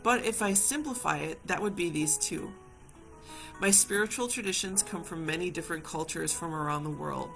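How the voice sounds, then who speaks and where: A woman from Hawaii leading a reflective live session as a community healer, speaking gently and reassuringly to viewers and repeating phrases for emphasis. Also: fairly low pitch, moderate pace, vocal fry, soft background music.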